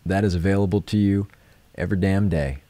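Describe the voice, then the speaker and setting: A man hosting a live stream, guiding meditation and chatting casually in a gentle, unhurried, reflective way. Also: low voice, no room sound.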